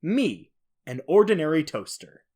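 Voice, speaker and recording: A man's voice: on the high side, sing-song, a little slow, darkish tone, slightly rough, full character voice. Man reading as a formal, clinical sentient toaster. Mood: clinical, matter-of-fact. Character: sentient ordinary toaster describing itself as a clinical case file. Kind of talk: dramatic reading of scripted horror fiction.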